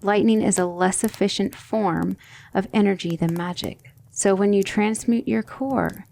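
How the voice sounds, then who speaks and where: Casting: woman as a patient demon tutor for ASMR roleplay, formal and instructive, creaky mid-range voice, slight noise on the recording. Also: mid-range voice. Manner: measured, formal, instructive, gentle. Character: patient, exacting female demonic magic tutor, gently formal.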